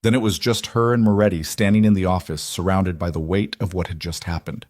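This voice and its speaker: A man's voice: low-pitched, fairly even intonation, brisk, a little mellow. A man reading a scripted romance story as an audiobook narrator.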